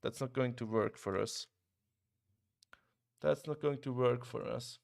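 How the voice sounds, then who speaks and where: A man's voice: medium pitch, a little slow, creaky, no room sound, studio quality. A young man from Switzerland streaming a game live, chatting casually with his viewers and reacting as he plays, often restarting his sentences. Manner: relaxed, chatty, talks to chat, frequent restarts. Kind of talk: casual live-stream commentary while gaming.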